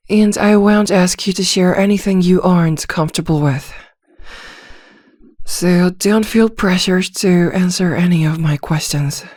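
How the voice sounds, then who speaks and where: A woman playing a composed, gentle therapist in an ASMR roleplay, speaking softly in a measured, reassuring way with thoughtful pauses. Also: lowish voice, no room sound, studio quality, lightly acted. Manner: measured, reassuring, hesitant pauses with soft hums. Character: composed female therapist, gentle, attentive and discreetly probing. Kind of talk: soft-spoken ASMR roleplay acting.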